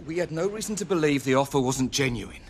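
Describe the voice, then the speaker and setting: A man's voice: a little high, expressive, fast, darkish tone, slight background noise. An actor playing a defensive, earnest agent pleading for his young player in a video game cutscene. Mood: protective, persuasive. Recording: dramatic music behind the voice.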